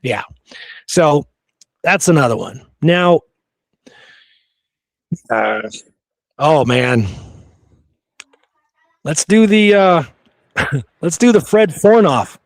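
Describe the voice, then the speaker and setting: A man's voice: fairly high pitch, expressive, very slow, long pauses, no echo. A man hosting a podcast, discussing history in a casual, conversational way with blunt, opinionated remarks. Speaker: podcast host.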